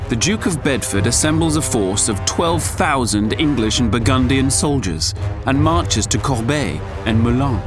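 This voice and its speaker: Man as sober narrator over clear music. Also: mid-range voice, close to an everyday voice. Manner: measured, present-tense chronicler's delivery. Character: sober male chronicler narrating a war history. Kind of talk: scripted historical narration.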